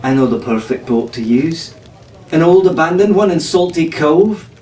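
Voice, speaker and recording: A man's voice: fairly high pitch, expressive, moderate pace, darkish tone, recorded from a distance, pitched above the performer's own voice, close to an everyday voice. A man voicing a knowledgeable, strong-willed teenage tavern girl in a storybook, telling pirate lore in an earnest, firm way. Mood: firm, determined. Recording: echoey room from off-mic, faint noise.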